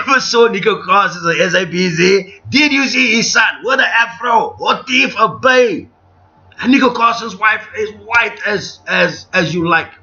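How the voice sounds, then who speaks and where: A middle-aged man with a South African accent talks live to his viewers, opinionated and digressive, often restarting his sentences. His voice sits high and sharp. The stream sounds dull and lo-fi, with faint music underneath. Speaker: livestream host. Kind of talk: unscripted live commentary to viewers.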